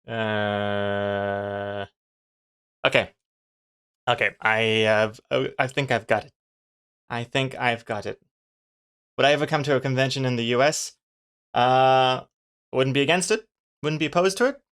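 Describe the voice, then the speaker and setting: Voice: medium pitch, a little slow, pauses often, fairly bright tone, clear, resonant tone. A comic artist from New Zealand with a mixed, not quite New Zealand accent, chatting casually with livestream viewers and thinking aloud in a rambling way.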